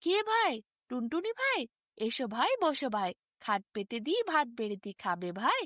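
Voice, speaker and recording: Talking storybook stick, played by a woman, put-upon and indignant, bright sing-song delivery. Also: sits high, big pitch swings, unhurried, dry recording, studio quality, pitched below the performer's own voice, exaggerated voice. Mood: welcoming. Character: indignant walking stick, reluctant to hurt anyone.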